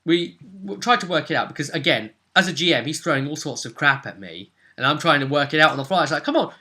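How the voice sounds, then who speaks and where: A man's voice: fairly high pitch, lively intonation, quick pace, bright, forceful tone. A man in casual conversation, recapping a tabletop roleplaying session as game master and explaining things chattily, thinking aloud.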